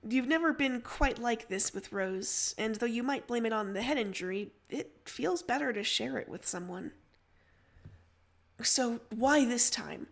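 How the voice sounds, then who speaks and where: A woman narrates a story from a script. Her voice sits fairly high, and she reads as a storyteller into a close microphone. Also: lively intonation, normal speed, creaky, hardly any pauses, fairly bright tone.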